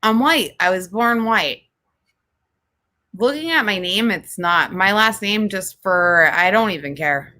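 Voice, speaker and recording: A woman with a New Jersey accent chats bluntly as a co-host, swearing freely and laughing a lot. Her voice is bright and forceful. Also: medium pitch, animated, a little slow. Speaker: podcast co-host. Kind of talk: casual chat.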